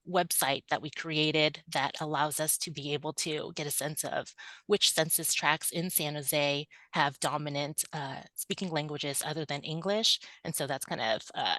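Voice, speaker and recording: Low-voiced woman, dry sound. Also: no echo. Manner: steady, with frequent ums. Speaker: city planning manager. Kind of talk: webinar presentation.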